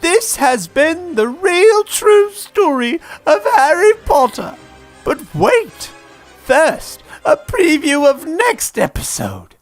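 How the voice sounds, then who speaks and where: A man's voice: very high voice, sing-song, takes it slowly, faint music behind. A man narrating a parody story in a theatrical comic style. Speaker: narrator and performer of a parody series.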